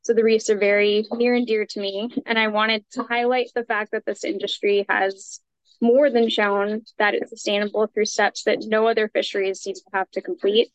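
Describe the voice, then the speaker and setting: A woman's voice: medium pitch, no echo, low-quality recording. Firm woman from Hawaii arguing by call. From Kailua-Kona, Hawaii. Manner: firm, argumentative, with some filler ums. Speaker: public commenter. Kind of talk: prepared public testimony. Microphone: video call.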